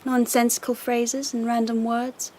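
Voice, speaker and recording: An actress playing a haughty, icy young empress in a radio drama, imperious, formal and measured in her disdain. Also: fairly high pitch, level tone, darkish tone. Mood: mocking menace.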